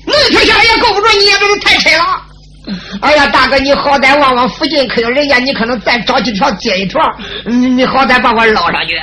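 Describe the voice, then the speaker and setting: A woman's voice: high voice, lively intonation, fast talker, pushed and bright, a little hiss or hum. A woman voicing a young male general begging for rescue, stammering and pleading.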